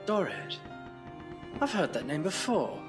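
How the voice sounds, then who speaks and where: A man playing a weary, earnest Victorian gentleman in an audio drama, formal and probing. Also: a little high, very animated, a little slow, over music. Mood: curious, polite.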